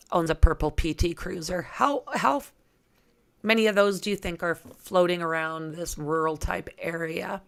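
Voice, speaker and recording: A woman with a creaky voice hosting a true crime livestream, reading aloud and chatting informally with viewers as she thinks aloud. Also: lowish voice, sharp tone. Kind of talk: reading documents aloud with casual asides to viewers.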